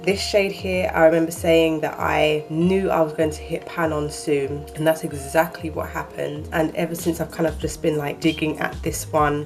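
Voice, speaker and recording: Low voice woman, kinda croaky from just waking up, rambly chat to the camera. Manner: rambling, chatty, self-correcting. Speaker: beauty vlogger. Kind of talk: casual chat. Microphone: handheld camera, shaky framing.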